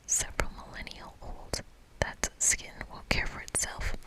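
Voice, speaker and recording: A woman's voice: pushed and bright, low-quality recording. Playful woman whispering ASMR. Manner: playful, informal. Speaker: ASMR creator. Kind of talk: whispered ASMR game narration and chat.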